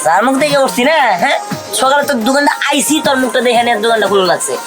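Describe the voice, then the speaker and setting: Rapid-fire, quarrelsome Bangladeshi shop assistant played by a woman. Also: high-pitched, animated. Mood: grumbling. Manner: argumentative, sarcastic, colloquial. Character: quarrelsome, blunt shop assistant, haggling and blaming others. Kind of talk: comic short-drama acting. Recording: loud music behind the dialogue.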